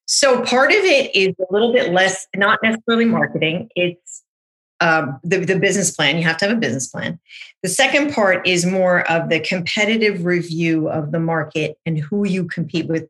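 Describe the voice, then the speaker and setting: Chatty middle-aged woman, animated storyteller. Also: fairly low pitch, moderate pace. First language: French. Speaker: marketing consultant guest. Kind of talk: conversational interview.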